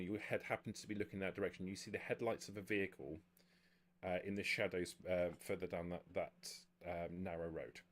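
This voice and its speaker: Low-voiced man narrating haltingly. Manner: halting, frequent stammered word repeats. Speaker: game master. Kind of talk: improvised narration of a tabletop vampire game.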